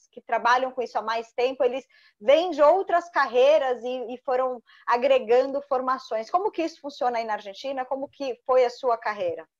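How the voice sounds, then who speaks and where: A woman host interviewing a guest over a video call, asking her questions fluently. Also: high voice. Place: home office with bookshelves, indoors. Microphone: earphone headset on video call. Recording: dull lo-fi call audio.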